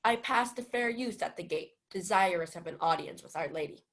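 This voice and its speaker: A woman's voice: fairly low pitch, lively intonation, normal speed, vocal fry. A young woman playing a witty, impudent male clown in a theatrical radio Shakespeare play. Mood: wry impudence. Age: young adult. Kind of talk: 1940s radio Shakespeare acting.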